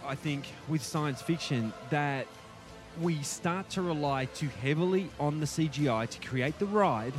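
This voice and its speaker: A man co-hosting a casual film review podcast, talking in a thoughtful, rambling, hedging way. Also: mid-range voice. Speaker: podcast co-host reviewing films. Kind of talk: casual movie review chat.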